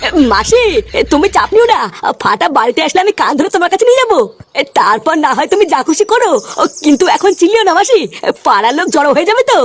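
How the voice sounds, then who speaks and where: A woman acts out an excitable village neighbour from a comedy cartoon. The voice is extremely high and swings widely, pouring out blunt, colloquial complaints at a quick pace. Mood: startled, placating. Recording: faint music behind the voice.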